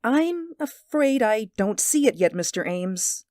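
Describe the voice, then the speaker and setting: A woman's voice: on the high side, very animated, measured pace, a little mellow, no echo, clean studio sound. A woman playing a deferential, sceptical housemaid in a radio drama, with a folksy, respectful manner. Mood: doubtful, placating.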